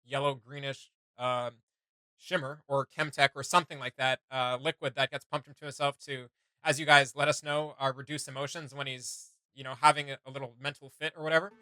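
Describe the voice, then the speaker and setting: A man's voice: medium pitch, level tone, brisk, bright, forceful tone, no room sound. A man giving casual reaction commentary as a video host, thinking aloud in a rambling way with trailing asides.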